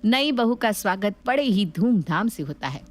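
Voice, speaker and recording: A woman's voice: medium pitch, very animated, moderate pace, faint music behind. A woman narrating a scripted family story as a storyteller.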